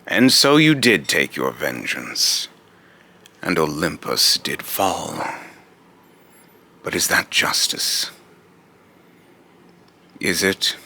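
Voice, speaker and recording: Grave, condescending god of vengeance, played by a man: extremely low, forceful voice, solemn and accusing delivery. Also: sing-song, creaky, exaggerated voice. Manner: slow, solemn, deliberate, taunting rhetorical questioning. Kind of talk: amateur voice-acting monologue with retakes.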